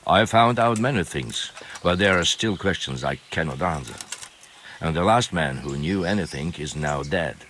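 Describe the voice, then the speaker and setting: An actor playing a grim, guarded, world-weary knight with a formal, measured and ominous way of speaking, in a video game. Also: male voice, extremely low pitch, lively intonation, fairly bright tone, slight background noise. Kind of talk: dramatic video game acting. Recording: music behind the voice.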